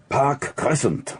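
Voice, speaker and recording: An actor playing a wary, scornful gambler who is owed money in a radio drama, cool, guarded and taunting. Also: male voice, mid-range voice. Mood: amused, wary. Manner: taunting, guarded, repeats questions back. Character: wary, scornful male gambling creditor, coolly unhurried.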